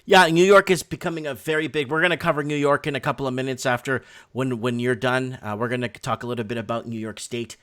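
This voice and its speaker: A man's voice: medium pitch, steady tone, quick pace, hardly any pauses, fairly bright tone. A man hosting a live night show in a casual, blunt and emphatic way.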